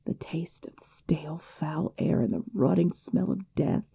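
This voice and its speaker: A woman voicing a commanding female vampire clan leader, possessive and tender, in a dark, solemn ASMR roleplay, often dropping into a whisper. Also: lowish voice, steady tone, a little slow, creaky, slightly rough, exaggerated voice. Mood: haunted, bitter.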